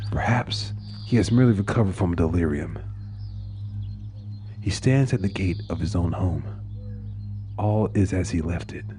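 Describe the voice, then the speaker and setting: Very deep-voiced male storyteller over music. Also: dark tone. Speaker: horror story narrator. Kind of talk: dramatic scripted narration of a short story.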